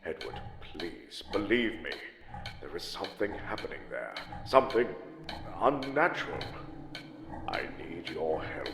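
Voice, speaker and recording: Deep-voiced, haunted old grandfather. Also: big pitch swings, unhurried, gravelly, full character voice. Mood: pleading, earnest. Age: older. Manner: grave, theatrical, ominous, formal phrasing. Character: haunted, anxious old grandfather, grave and earnest. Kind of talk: dramatic game narration and dialogue. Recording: music underneath, sometimes echoey.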